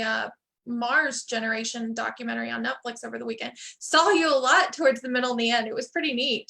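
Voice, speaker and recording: A woman chats informally on an online call, asking questions a little tentatively. Her voice is fairly high and bright, with a lot of creaky fry. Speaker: event participant relaying questions. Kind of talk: casual chat. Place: virtual event call.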